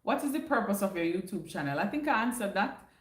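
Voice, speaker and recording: A woman's voice: mid-range voice, big pitch swings, fast talker. A woman with a Jamaican accent hosting a casual livestream, chatting through viewers' questions and slipping into patois phrasing. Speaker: livestream host answering chat. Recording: roomy echo.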